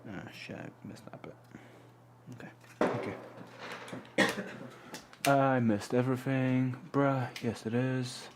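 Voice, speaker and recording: A man's voice: medium pitch, steady tone, slow pace, long pauses. A man from Poland hosting a casual live stream, chatting in a rambling way and humming to himself as he works. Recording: loud background noise.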